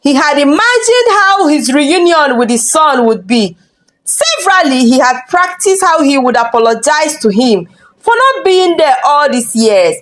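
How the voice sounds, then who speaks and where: A woman's voice: sits high, big pitch swings, unhurried, poor audio. A woman narrating a scripted dramatic folktale as a storyteller.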